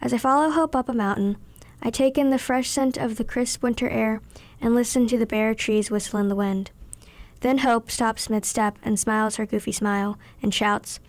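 A woman's voice: a little high, dry recording. A young woman in her teens reading her own written story aloud as a scripted narration. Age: teenager.

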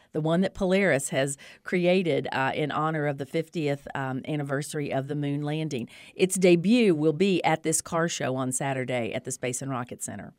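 A woman with a notably low voice presents community events on the radio, recorded very dry and close. She is conversational and informative, stressing her points like someone promoting them. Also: a little mellow, no room sound.

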